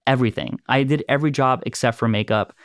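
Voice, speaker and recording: A smooth-voiced man telling an animated story. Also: mid-range voice, fast, dry recording, clean studio sound. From Los Angeles. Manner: animated storyteller, laughs easily. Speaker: filmmaker and producer. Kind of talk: casual conversation, storytelling.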